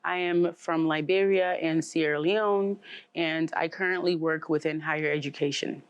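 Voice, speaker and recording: A woman who is a talk show guest and works in higher education, speaking thoughtfully and checking in with the listener. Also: steady tone, normal speed, clear, resonant tone, muffled recording. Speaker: panel guest. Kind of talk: casual discussion.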